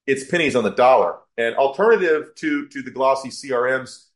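A man's voice: medium pitch, brisk. Man explaining as an interview guest. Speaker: podcast guest, business owner.

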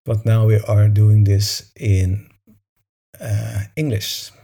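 Guy guiding a group meditation, super mellow n husky, kinda soothing. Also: lowish voice, fairly even intonation, slow. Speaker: meditation session leader. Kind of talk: guided meditation and informal talk to a group.

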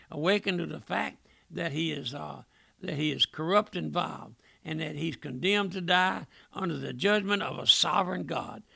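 A middle-aged male preacher delivering an impassioned revival sermon, often dropping to a hush on a repeated address to his listener. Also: high voice, gravelly, dry recording.

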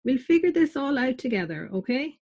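A woman voicing a kind young man who gently coaxes and reassures his younger brother. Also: high voice, sing-song, fairly quick, breathy edge, dull audio, full character voice. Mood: coaxing.

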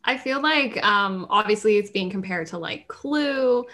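A woman's voice: mid-range voice, expressive, a little slow, sharp tone. A woman co-hosting a podcast, asking questions in a casual way with lots of likes. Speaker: podcast co-host.

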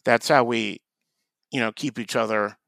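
A man co-hosting a podcast, delivering deadpan dry jokes in casual comedic chat. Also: medium pitch, normal speed, creaky, no room sound. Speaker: podcast co-host.

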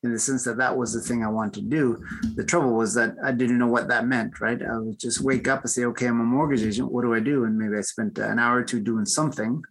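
A man's voice: medium pitch, steady tone, fast talker, low-quality recording. A man in his forties from Peru, raised in Toronto, speaking as an interview guest in a reflective way, often adding "right?" and "uh". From born in Peru, raised in Toronto. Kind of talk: casual interview chat.

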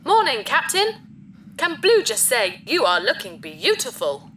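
A woman's voice: sits high, huge pitch swings, measured pace, fairly bright tone, slight background noise, full character voice. A woman playing an eager, fawning spaceship computer with a theatrical, childlike manner in a sci-fi audio drama. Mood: fawning admiration. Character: eager, flattering, childlike spaceship computer, speaks in third person.

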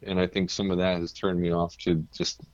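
A deep-voiced man from New Jersey, a guest musician chatting casually and telling stories with easy laughs. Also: flat delivery, brisk, darkish tone, no room sound, lo-fi sound. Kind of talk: casual interview chat.

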